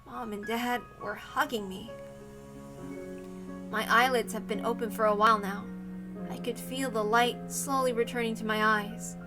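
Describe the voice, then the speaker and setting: A woman's voice: a little high, steady tone, measured pace, music in the background. A woman reading a visual novel aloud as scripted, dramatic narration.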